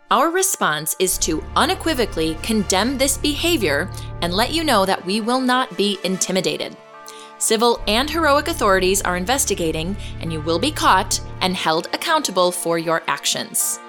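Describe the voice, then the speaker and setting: Woman podcast host, earnest n measured scripted update, medium pitch, faint music under it. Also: fairly bright tone. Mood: serious, resolute. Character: composed, defiant woman journalist hosting a superhero investigation podcast.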